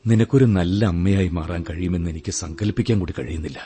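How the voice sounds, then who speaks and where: An actor playing a wounded, world-weary young husband in a radio drama, sardonic and melancholy, speaking in measured phrases. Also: male voice, sits low, mellow, close to an everyday voice. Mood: melancholy, probing. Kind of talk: Malayalam radio drama acting.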